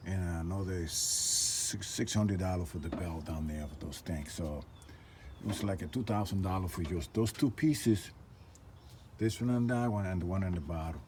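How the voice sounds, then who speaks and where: Male DIY repair vlogger, low register, casual and muttery, noisy camera audio. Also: moderate pace. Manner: informal, muttering to himself while working. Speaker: vlogger, tradesman doing a DIY truck repair. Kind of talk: casual hands-on repair vlog. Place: at a vehicle being repaired.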